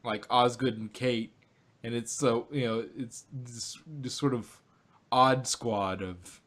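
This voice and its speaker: Male co-host, unhurried and halting, easygoing review chat. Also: medium pitch, fairly even intonation, fairly bright tone. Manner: laughing, halting, many fillers. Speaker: podcast co-host.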